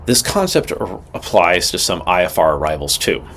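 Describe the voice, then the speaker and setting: A man's voice: low voice, sing-song, normal speed, a bit bright, a little hiss or hum. A man narrating a scripted training lesson as an instructor, in a direct, matter-of-fact way with emphatic points. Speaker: instructor presenting a pilot training video.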